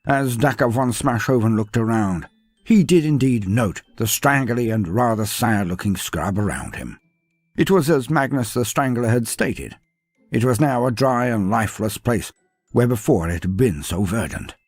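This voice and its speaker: A man's voice: mid-range voice, animated, moderate pace, a little mellow, husky, no room sound. A man narrating a scripted fantasy story in a theatrical, florid and whimsical way. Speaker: narrator of fantasy lore stories.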